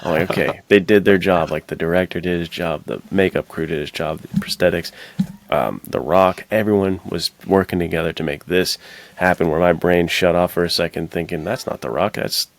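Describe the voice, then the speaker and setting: A man's voice: sits low, steady tone, a little fast, no echo. A young American man co-hosting a podcast, casually discussing films in slangy, opinionated talk. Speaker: podcast co-host.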